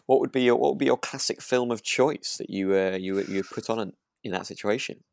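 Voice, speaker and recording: A man's voice: fairly low pitch, brisk, gravelly, darkish tone, no room sound, clean studio sound. A British man co-hosting a podcast in casual chat, agreeable and often breaking off to rephrase himself. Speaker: podcast co-host. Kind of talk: casual chat discussing a radio drama.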